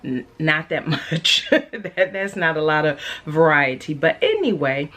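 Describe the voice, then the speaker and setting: A woman with a low, deep speaking voice talks to her sewing channel in a relaxed, chatty way. A cold leaves her sounding a bit nasal. She lingers on words she loves and fills gaps with ums and uhs. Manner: chatty, unhurried, drawn-out emphasis, many fillers. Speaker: sewing vlogger. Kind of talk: casual chat to camera.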